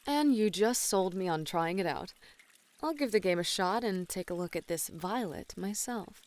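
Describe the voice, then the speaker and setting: A woman's voice: fairly low pitch, expressive, moderate pace, darkish tone, natural voice. Woman acting a flirty, teasing model in ASMR roleplay. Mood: flirty, affectionate. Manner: flirty, teasing, sweet-talking. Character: flirty, playful female model and gamer relaxing in an onsen.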